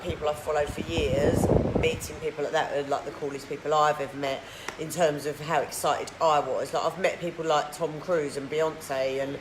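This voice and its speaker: A tired woman with a husky voice, chatting casually on a live stream and answering viewer comments. Her throat is huskier than usual, and she rambles, filling her talk with "like". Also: low voice. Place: indoors in a hot treatment clinic room. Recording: echoey room with loud background noise.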